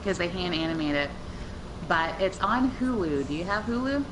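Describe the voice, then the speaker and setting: A woman with a lowish voice chats casually during an online game. She breaks into animated bursts now and then. A clear hiss of noise sits under her mic. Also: moderate pace. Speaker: gaming guest. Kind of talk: casual gaming chat.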